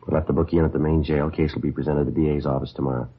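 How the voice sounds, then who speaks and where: Police detective lead, extremely low voice, brisk, impassive and clipped, muffled vintage broadcast sound. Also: male voice, a little hiss or hum. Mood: matter-of-fact. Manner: deadpan, clipped, matter-of-fact. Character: male police sergeant detective, terse, businesslike, impassive. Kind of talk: 1950s radio drama, scripted narration and dialogue. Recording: muffled old radio recording.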